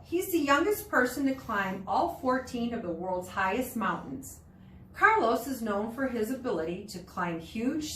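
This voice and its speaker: A woman's voice: on the high side, fairly bright tone. A woman teacher reading a children's nonfiction book aloud to students in an explanatory way. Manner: explanatory, pauses to explain words and pictures. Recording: roomy, distant mic with background noise.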